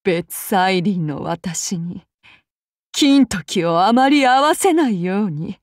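An actress voicing a calm, menacing samurai woman of demon blood, speaking in formal archaic phrasing to her master. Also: medium pitch, slow pace, no room sound, clean studio sound. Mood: cold, brooding. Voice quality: formal, archaic courtly speech. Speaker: game character voice actress. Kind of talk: game character voice lines.

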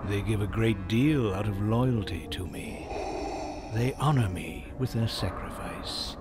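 An actor playing a sinister old galactic emperor in an audio drama, regal, imperious and measured in his speech. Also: on the low side, over loud music, natural voice. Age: older.

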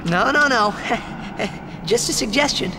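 Brash, lively young game hero. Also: male voice, very high-pitched, slow, creaky, background noise, heavily acted. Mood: nagging, casual. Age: young adult. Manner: casual, protesting, youthful. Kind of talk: video game cutscene acting. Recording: music behind the voice.

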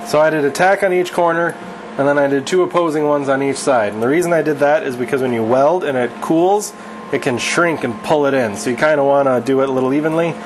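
A man's voice: a little high, quick pace, noisy background. A man in his thirties casually teaching his wife to weld in a home garage, offhand and self-deprecating. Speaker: channel host teaching welding. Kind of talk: casual instructional chat. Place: home garage workshop, indoors.

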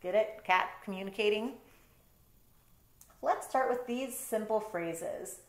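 A middle-aged woman reading a picture book aloud with casual asides, expressive and explaining things to young listeners. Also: mid-range voice, slow. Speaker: storytime reader, teacher. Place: bedroom, indoors. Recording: roomy bedroom sound.

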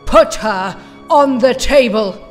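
A woman's voice: fairly high pitch, takes it slowly. A woman playing a haughty, short-tempered villain scientist in an audio drama, theatrical and scornful. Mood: cold, scheming. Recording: long hall reverb, music underneath.

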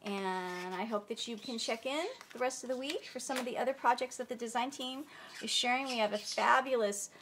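A woman's voice: on the high side, fairly quick, a bit bright, slight background noise. Stuffy-voiced woman demonstrating a craft. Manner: chatty, friendly, searches for words. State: stuffy, under the weather. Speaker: crafting channel host. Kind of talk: casual tutorial demonstration. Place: indoors at a craft table.

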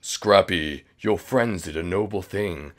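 A woman voicing a menacing, hulking avenger in a cartoon roleplay, deliberate and ominous. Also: rumbling low. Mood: solemn.

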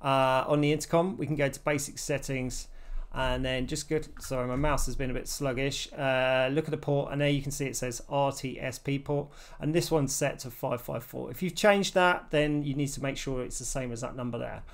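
A man's voice: mid-range voice, fairly even intonation, fairly quick. A man in his forties presenting a casual, step-by-step tech tutorial.

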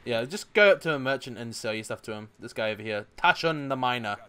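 Young man, gaming host, casual co-op chat, playful, medium pitch. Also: animated, fast talker, clear, resonant tone. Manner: playful, bursts into loud laughs and shouts.

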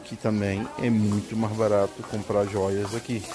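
A man's voice: lowish voice, flat delivery, measured pace. A middle-aged Brazilian man vlogging casually on a handheld phone as he walks through a large indoor market. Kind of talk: casual walking tour narration. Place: large indoor swap meet. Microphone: handheld phone camera. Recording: busy indoor market crowd behind.

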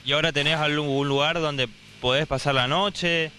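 A male field reporter asking brisk, direct interview questions outdoors. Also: fairly high pitch, steady tone, pushed and bright, a little hiss or hum.

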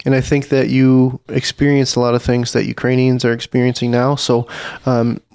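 A man in his thirties hosting a podcast interview, gentle and filler-heavy, at a boom-arm mic in a small studio room. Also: completely flat delivery, normal speed, vocal fry, clear, resonant tone, no room sound. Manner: gentle, with frequent ums. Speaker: podcast host.

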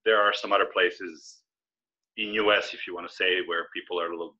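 Forties man, Serbian-born guest, lowish bright voice, slightly dull webcam sound. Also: steady tone. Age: 40s. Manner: easygoing, measured and explanatory. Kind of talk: casual interview. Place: indoor room with brick wall. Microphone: video call webcam. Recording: slightly lo-fi video call audio.

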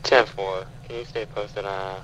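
A man's voice: fairly low pitch, fairly even intonation, a little slow, background noise. A man making clipped police radio calls in an online role-play game. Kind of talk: clipped radio call talk.

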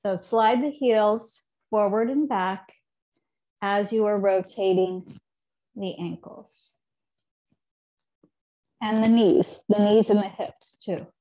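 A woman in her thirties teaching a seated yoga class from her living room, giving calm, unhurried cues with soft trailing endings, picked up by a camera set some distance away. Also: mid-range voice. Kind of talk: guided yoga instruction.

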